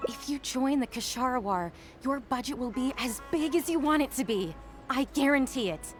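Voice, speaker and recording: An eager young academic from a video game. She speaks in a high, lively voice, excitable and persistent as she tries to persuade. Music sits faintly behind her. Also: normal speed.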